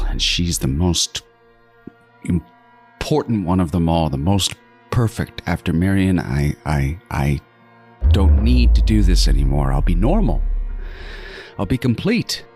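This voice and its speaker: An actor playing a meticulous, obsessive serial killer who narrates a horror audio drama in a brooding, deliberate and menacing way. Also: male voice, deep voice, big pitch swings, mellow, soft background music, exaggerated voice. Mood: agitated, nervous.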